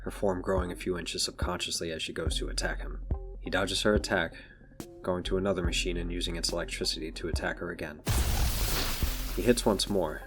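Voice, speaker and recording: A man's voice: low-pitched, steady tone, fairly quick. A man narrating a scripted audio drama in the third person. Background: background music.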